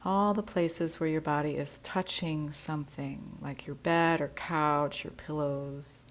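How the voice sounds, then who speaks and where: Female yoga nidra teacher, low register, very measured pace, gentle and soothing guided-meditation delivery. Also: steady tone. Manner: soothing, gentle, unhurried instruction.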